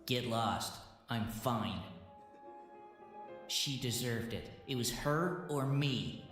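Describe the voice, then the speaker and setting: A man's voice: medium pitch, takes it slowly, a bit bright. A man playing a defensive, bitter pony haunted by guilt in an audio drama, snappish and sarcastic, distant and echoing. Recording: very echoey and distant, faint music.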